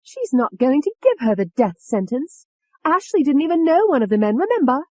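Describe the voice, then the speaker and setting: A woman's voice: very high-pitched, sing-song, moderate pace, no echo, pitched above the performer's own voice. A woman voicing a furious, taunting young woman with a sneering, explosive manner in an audiobook. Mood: angry scorn. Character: furious, taunting young British woman, a murderous alter personality.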